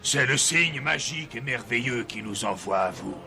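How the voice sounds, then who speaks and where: An actor playing a loyal warrior and herald, formal and declamatory with a gravelly voice, in a dubbed cartoon fairy tale. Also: male voice, medium pitch, lively intonation, normal speed, close to an everyday voice. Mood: solemn. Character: solemn loyal warrior and herald addressing royalty. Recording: music behind the voice.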